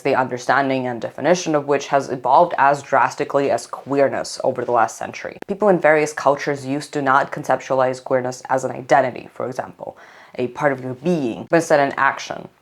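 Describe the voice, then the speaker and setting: A young adult narrator delivers a scripted history video essay in English. The tone is chatty and wry, with casual slang, and the pitch sits in a middle register. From Saint Petersburg, Russia. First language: Russian.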